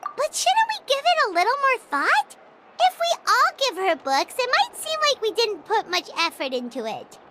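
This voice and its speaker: A woman voicing a small, excitable floating girl companion in a game dub, talking in a squeaky, fretful way over game music. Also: moderate pace, heavy background noise, exaggerated voice. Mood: doubtful. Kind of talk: dubbed game dialogue.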